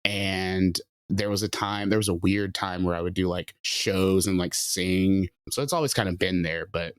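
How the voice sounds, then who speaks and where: A man's voice: low-pitched, fairly even intonation, moderate pace, dry recording. A man in his late twenties, a writer and producer, talking loosely in a casual interview with lots of fillers. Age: late 20s. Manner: loose and chatty, many fillers.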